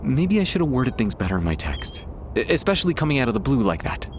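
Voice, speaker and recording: A man playing a shy, tender young man confessing love to a childhood friend, hesitant and soft-hearted, in an intimate ASMR roleplay. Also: mid-range voice, sing-song, a little hiss or hum, close to an everyday voice. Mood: sheepish, tender. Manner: soft-hearted, hesitant, halting with nervous chuckles. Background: quiet park ambience.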